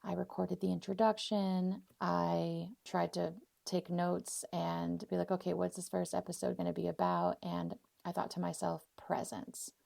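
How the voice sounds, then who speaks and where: A woman's voice: fairly low pitch, little pitch movement, unhurried, vocal fry, darkish tone, no echo. A 39-year-old woman with an American accent hosting a casual, personal solo podcast, speaking off the cuff in effusive, heartfelt, spiritual phrasing. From Arizona, US; born in Poland. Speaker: podcast host.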